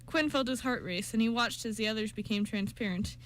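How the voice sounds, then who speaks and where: High-voiced woman narrating a story. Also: a little fast, fairly bright tone, low-quality recording. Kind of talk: scripted story narration for amateur radio play.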